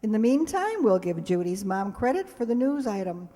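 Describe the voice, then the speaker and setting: A woman's voice: mid-range voice, big pitch swings, moderate pace, warm tone. Woman hosting live in a reverberant hall. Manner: informal, coaxing, ad-libbed asides. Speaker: host of a live show. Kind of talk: live stage announcing to an audience. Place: hall before a live audience.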